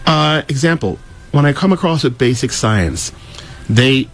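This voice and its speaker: Male talker, opinionated explainer and storyteller, emphatic delivery with highly animated intonation. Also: a little slow, gravelly. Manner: emphatic, acts out quoted remarks. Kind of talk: opinionated explanatory talk with anecdotes.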